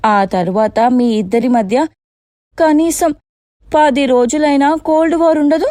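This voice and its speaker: A woman's voice: sits high, a little slow, warm tone, dry recording, studio quality. A woman voicing a crafty young daughter-in-law in a cartoon moral story, coaxing and chatty. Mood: persuading.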